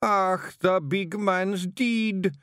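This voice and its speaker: A slow-witted little fairy man with a Scottish accent, pitched high and wailing as a man voices him. His sobbing words are stretched out long. Close, dry reading. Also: big pitch swings, clean tone, no echo, full character voice. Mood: weepy. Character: simple-minded little male fairy, weepy.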